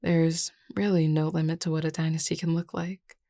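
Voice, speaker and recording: A woman playing a confident, reassuring werewolf pack beta in a scripted audio roleplay, speaking in a measured, explanatory way. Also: low-pitched, level tone, warm tone, no room sound, clean studio sound. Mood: composed, explanatory.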